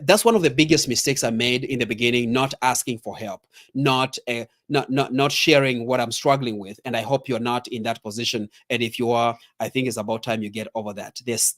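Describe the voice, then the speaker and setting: A man's voice: mid-range voice, a bit bright. A middle-aged man from Kenya with a Kenyan accent, giving a motivational training talk as a leadership coach, emphatic, repeating key points and addressing listeners directly.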